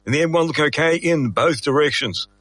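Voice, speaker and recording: An Australian man reads a radio traffic report in a fairly high voice. The sound is close and dry, with faint music underneath. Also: fast talker, a bit bright, no echo. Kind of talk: scripted traffic bulletin.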